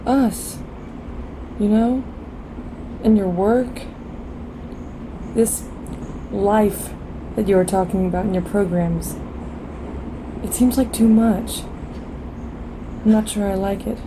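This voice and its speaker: An actress in a radio play as an anxious, grieving lover, soft and husky, hesitant and sorrowful. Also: female voice, mid-range voice, takes it slowly, pauses often, background noise. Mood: worried. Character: anxious, grieving woman in love with a programmer.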